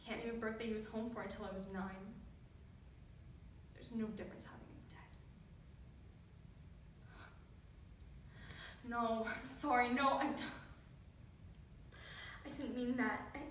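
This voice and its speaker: In a very reverberant room, a woman performs a grieving, bitter teenage girl in a dramatic stage monologue. The voice is bright and forceful, swinging from hushed to shouting, picked up at some distance from the mic. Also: on the high side, lightly acted. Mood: sorrowful. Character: grieving, bitter teenage daughter of a dead soldier. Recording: very echoey room, distant mic, faint noise.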